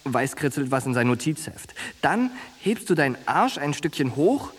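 Ein Schauspieler in einem Hörspiel spielt einen derben, eindringlichen jungen Soldaten und treuen Freund mit genervtem Temperament. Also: male voice, fairly high pitch, big pitch swings, normal speed, slightly rough. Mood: low, confiding. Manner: blunt, coarse, insistent. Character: streetwise, exasperated young soldier, loyal friend. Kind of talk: naturalistic German radio drama acting.

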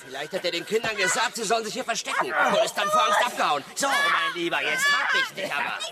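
A rough, excitable crook's sidekick for a radio play. The voice is high and swings wildly in pitch. Heavy noise surrounds it. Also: male voice, fast talker, exaggerated voice. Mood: gloating. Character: rough, excitable male henchman thug. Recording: loud noise behind the voice.

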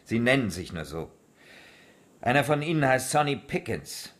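Brusque policeman, lively intonation. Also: mid-range voice, moderate pace. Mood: probing. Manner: clipped, businesslike. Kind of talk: radio crime drama acting.

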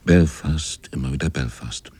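An actor narrating a radio play as a reflective seaman, in a dark, husky, measured voice. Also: a bass voice, dry recording, full character voice. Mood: reflective, wry. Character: reflective seaman recounting his shipmates' voyage.

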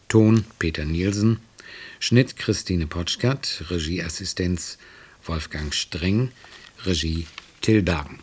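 A man with a husky voice reading a scripted announcement as a radio announcer. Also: low voice, a little mellow.

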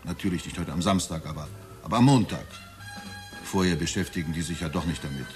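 A man's voice: low voice, quick pace, over music, close to an everyday voice. An actor playing an anxious, evasive middle-aged husband and father in a German radio drama, hesitant and confessional. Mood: defensive.

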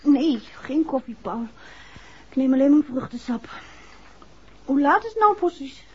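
An actress playing a detective's wife at home, talking in a domestic way in a 1960s radio drama. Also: female voice, high-pitched, expressive, unhurried, slight background noise. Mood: weary, casual. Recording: muffled vintage radio recording.

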